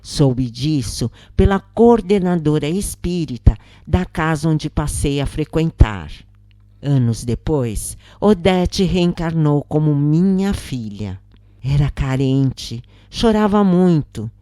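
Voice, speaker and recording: A Brazilian woman reading a scripted first-person story in a measured way. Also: sits low, warm tone, dry recording, studio quality. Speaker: narrator reading a spiritist story.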